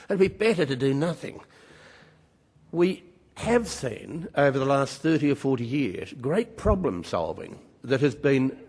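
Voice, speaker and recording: A man's voice: on the high side, darkish tone. An older man gives a conference speech, emphatic and wry, full of rhetorical questions. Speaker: conference speaker. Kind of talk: public speech at a mining conference. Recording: reverberant conference hall.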